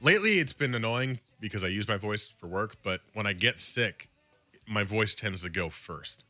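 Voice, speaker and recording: A man co-hosting a sports radio show, chatting quickly and casually about college football with a lot of filler words. His voice sits a little low but sounds bright and slightly raspy. The close mic leaves the sound very dry. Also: lively intonation, no room sound, soft background music. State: slightly raspy from recent illness. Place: radio studio.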